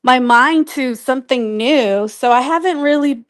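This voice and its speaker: A woman's voice: high voice, a little slow, dry recording, clean studio sound. A woman guest explaining things in casual, informal chat, feeling her way through her sentences a little hesitantly. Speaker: guest on a live online show.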